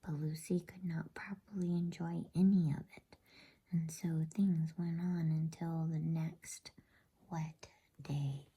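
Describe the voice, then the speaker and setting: A woman reads a bedtime story in a soft unvoiced whisper, hushed and soothing. Her delivery is unhurried and low, and the sound is dark and deeply breathy, with a grainy fry at the edges. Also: fairly even intonation.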